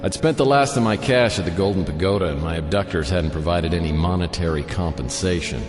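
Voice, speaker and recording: A wry, down-on-his-luck American private eye played by a man tells his story in an extremely deep voice. The delivery is deadpan and self-mocking, in noir radio drama style. Music plays clearly behind the voice. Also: fairly quick, big-room sound, lightly acted. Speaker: lead character and narrator. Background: prominent music.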